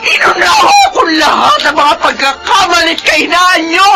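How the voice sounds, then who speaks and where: A woman's voice: very high voice, big pitch swings, moderate pace, raised voice, loud music behind the voice, full character voice. An actress playing a weary, overburdened Filipino mother of troubled grown children, in an emotional radio drama style. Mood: tearful outburst. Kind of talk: Philippine radio drama acting.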